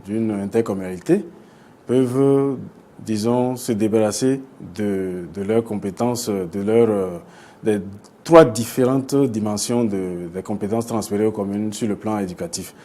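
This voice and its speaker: A man with a Beninese French accent giving explanatory interview answers as an expert guest, often halting and repeating words. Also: fairly low pitch, warm tone. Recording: clear background noise.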